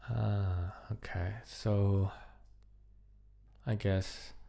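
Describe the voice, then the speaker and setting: A man giving casual live-coding commentary, thinking aloud in a halting, muttering way as he works. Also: on the low side, fairly even intonation, creaky, mellow. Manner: halting, muttering to himself while working. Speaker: programmer live-streaming a coding project.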